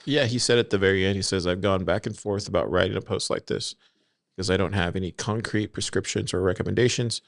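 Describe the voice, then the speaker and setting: A man co-hosting a casual podcast, reading forum posts aloud in a steady way and asking his co-host for his thoughts. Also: low-pitched, fast, vocal fry, no echo, clean studio sound. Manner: reads quotes in an even, steady way, then asks for opinions.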